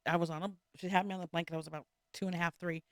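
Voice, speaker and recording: A woman's voice: on the low side, fairly even intonation, rapid-fire, no room sound, studio quality. Woman in her fifties, chatty co-host. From California. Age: 50s. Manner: laughs easily, probing questions. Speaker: podcast co-host. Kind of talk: casual chat.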